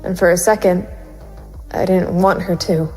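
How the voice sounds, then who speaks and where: A woman's voice: fairly low pitch, steady tone, normal speed, darkish tone, slightly rough, soft background music, low-quality recording. A woman playing a brooding, conflicted private investigator narrating a noir audio drama. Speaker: narrator and lead actor.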